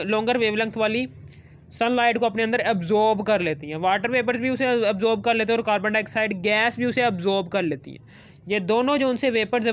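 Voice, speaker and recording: A man's voice: high voice, fairly bright tone, dull audio. A man teaching a science lesson, repeating phrases and asking questions that he answers himself. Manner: repetitive, asks questions and answers them, adds check-in tags. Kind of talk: explanatory school lesson, reading English textbook phrases and explaining them.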